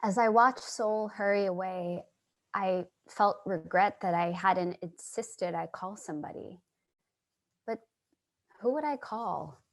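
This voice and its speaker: A woman playing a concerned, reflective passerby in a staged play reading, gentle and a little hesitant. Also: medium pitch, takes it slowly. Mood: wistful, reflective. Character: concerned female passerby narrating her encounters.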